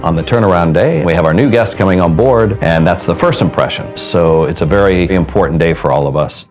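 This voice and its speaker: A man's voice: sits low, fairly quick. A middle-aged American man who is a cruise ship hotel director, telling stories vividly in a corporate testimonial. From United States. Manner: vivid, storytelling. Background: background music.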